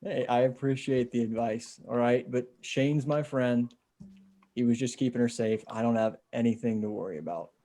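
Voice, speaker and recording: An actor playing a weary, trusting husband and survivor in a table read, with a casual, understated delivery. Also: male voice, mid-range voice, fairly even intonation, normal speed, mellow, lightly acted. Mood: calm, easy.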